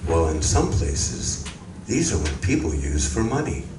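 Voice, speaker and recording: A man's voice: rumbling low, sing-song, exaggerated voice. A man acting a mild, curious visitor from another planet in a stage radio drama, speaking politely and formally. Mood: gentle, curious. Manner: polite, measured, formal phrasing. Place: community room of a public library. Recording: roomy, distant, noisy live library recording.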